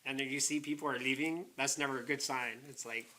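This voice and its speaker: A man with a slightly raspy voice, talking casually as a guest DJ in an interview. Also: medium pitch, fairly even intonation, brisk, pushed and bright. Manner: casual, filler-heavy with "it's like".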